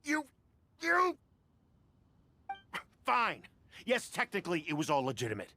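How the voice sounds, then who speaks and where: A man's voice: high voice, huge pitch swings, a little slow, pushed and bright, slight background noise, heavily acted. A man playing a disgruntled, easily flustered bidder in a video game, blustering and grudging in his delivery. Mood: indignant, threatening. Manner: blustering, hesitant when challenged.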